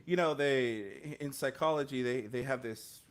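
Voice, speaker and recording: A man's voice: medium pitch, measured pace. Earnest man on a video call. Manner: earnest, reflective, many fillers and restarts. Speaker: guest musician. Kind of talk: conversational interview answers. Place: home music studio, indoors. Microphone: studio mic on stand, headphones, video call.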